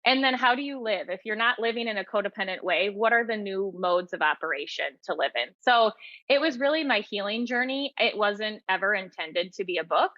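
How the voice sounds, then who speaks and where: A woman's voice: mid-range voice, expressive, a little fast, bright, forceful tone, dry recording, lo-fi sound. A woman speaking as an interview guest, reflecting on her own experience in an earnest, explanatory way. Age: 30s or older. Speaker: podcast guest, author and teacher. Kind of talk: reflective personal interview talk.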